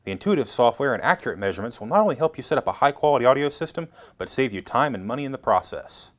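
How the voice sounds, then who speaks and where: Male product presenter, American, thirties, animated intonation, scripted how-to delivery. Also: mid-range voice, racing.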